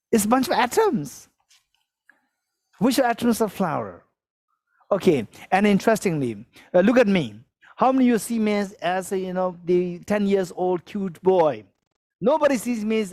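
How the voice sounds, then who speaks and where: A man's voice: high-pitched, a little mellow, dry recording, clean studio sound. Chuckling man teaching Buddhist philosophy. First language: Tibetan. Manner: repetitive, questions the audience, chuckles while explaining. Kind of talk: dharma teaching to a live audience. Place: teaching hall with a live audience.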